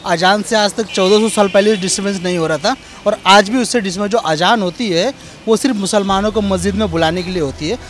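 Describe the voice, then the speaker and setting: A man's voice: high voice, fast, slight background noise. A man with an Indian accent arguing his opinion emphatically and repetitively in a street interview. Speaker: member of the public giving opinion. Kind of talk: argumentative vox pop opinion.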